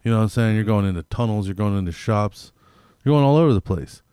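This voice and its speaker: Man, low clear voice, dry close sound, slangy, rambling, sweary casual chat. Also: animated, fast, warm tone, no echo. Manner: rambling, slangy, piling up hypothetical examples. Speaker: podcast co-host. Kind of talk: casual chat, profane banter.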